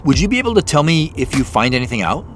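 A man's voice: on the high side, very animated, fast, a little hiss or hum. A man playing a polite, uneasy professional in a naturalistic audio drama, speaking in an understated, hesitant way.

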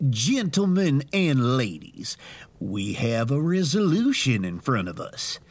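A man's voice: mid-range voice, sing-song, takes it slowly, exaggerated voice. A man acting in an audio drama as a pompous, scheming heir to a crime syndicate, speaking formally and with self-importance. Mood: formal, businesslike.

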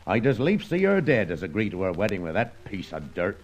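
An actor playing a proud, plain-spoken, worried old farmer with a rural English accent in an old radio serial. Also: male voice, fairly low pitch, sing-song, a little fast, highs cut off, slight background noise. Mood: bitter.

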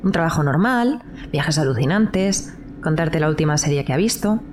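A woman's voice: sits low, lively intonation, moderate pace, a little mellow. An actress playing a sarcastic, skeptical young woman dinner guest in a live audio drama, with a Castilian Spanish accent. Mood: dismissive. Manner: dry, sarcastic. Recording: noticeable background noise, live recording.

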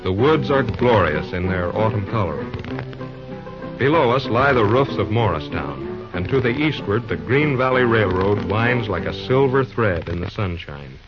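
A man's voice: lowish voice, expressive, moderate pace. A man narrating an old-time radio serial in a scripted announcer style. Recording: muffled old radio recording, music behind.

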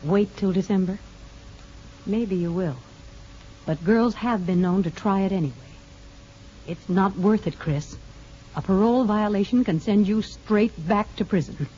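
An actress in a 1950s radio drama playing a firm, sensible parole officer who speaks in a measured, advising way. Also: female voice, medium pitch, warm tone, natural voice. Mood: firm, cautioning. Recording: noisy, dull 1950s radio recording.

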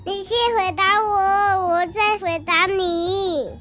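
A storyteller voicing a stubborn little rabbit in a children's audio story, with a childlike, drawn-out delivery. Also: very high voice, fairly even intonation, pushed and bright, soft background music, pitched above the performer's own voice, full character voice. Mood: indignant.

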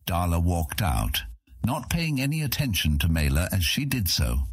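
Low, husky male audiobook narrator. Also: sing-song, moderate pace, no room sound, clean studio sound. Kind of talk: scripted fantasy narration.

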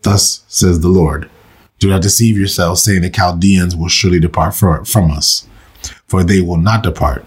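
Husky low-voiced man, losing his voice. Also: fairly even intonation. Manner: slow, measured reading. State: under the weather, losing his voice. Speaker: pastor leading scripture reading. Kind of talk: meditative scripture reading and prayer. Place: recorded for online listeners.

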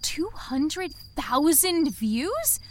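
An actress playing a chatty, sheepish woman in an audio drama, talking in an animated, conversational way. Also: sits high, very slow, background noise, exaggerated voice. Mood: eager. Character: Gail, chatty, sheepish woman sneaking a cat around.

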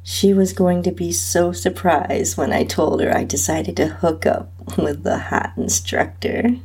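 A woman with a husky, breathy voice reading a first-person erotic story as its narrator. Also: fairly low pitch, unhurried, a little mellow. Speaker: podcast host and narrator.

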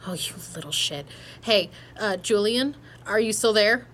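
A woman's voice: mid-range voice, lively intonation, a little slow, a bit bright. Blunt, brash young woman, noisy ambience. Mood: exasperated. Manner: blunt, slangy, sweary. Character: brash, foul-mouthed, blunt young woman, anxiously caring. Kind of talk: naturalistic audio drama acting.

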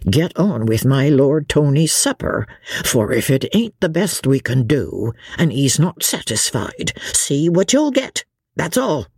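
A man's voice: fairly high pitch, very animated, measured pace, darkish tone, slightly rough, no room sound, clean studio sound, exaggerated voice. A man voicing Mister Jellyband, a hearty, opinionated innkeeper. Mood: blustering. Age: 60s. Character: bluff, worthy old innkeeper, stern father.

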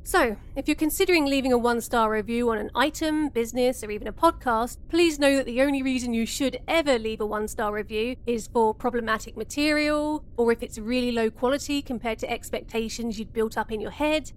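A woman playing a jaded, sarcastic spaceship show host in an audio comedy, speaking in an offhand, deadpan way. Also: on the high side, brisk, few pauses, natural voice. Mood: dry. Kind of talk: conversational audio comedy acting.

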